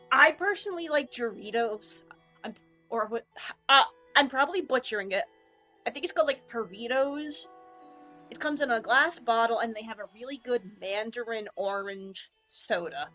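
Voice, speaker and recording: Bright, slow-talking playful woman streamer. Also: a little high. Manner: jokey, playful, self-mocking. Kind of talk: casual rambling chat.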